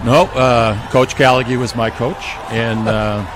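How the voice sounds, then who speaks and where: A man's voice: mid-range voice, little pitch movement, a little slow, rough recording. A man co-hosting a live radio parade broadcast with enthusiastic, bantering commentary. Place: outdoors at a street parade. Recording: outdoor radio broadcast with loud parade music.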